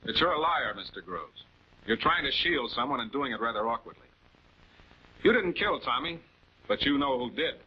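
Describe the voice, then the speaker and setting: Methodical prosecutor, accusatory and deliberate, slightly husky, vintage crime drama, dull film soundtrack. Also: male voice, mid-range voice, expressive. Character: composed, methodical male prosecutor confronting suspects. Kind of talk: 1940s television crime drama acting.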